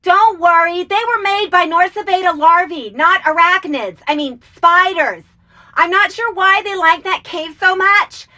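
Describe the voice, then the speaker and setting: A woman's voice: very high-pitched, measured pace, pushed and bright, exaggerated voice. A woman voicing a brusque, eccentric female insect researcher in a video game, speaking emphatically. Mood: matter-of-fact. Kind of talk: video game character acting.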